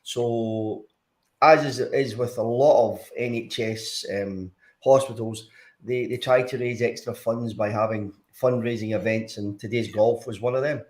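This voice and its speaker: A man's voice: medium pitch, fairly even intonation, measured pace, a little mellow. A man in his sixties with a Glaswegian accent, a former footballer talking as a guest over a video call, hesitant with many fillers and restarts. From Scotland. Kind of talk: casual interview.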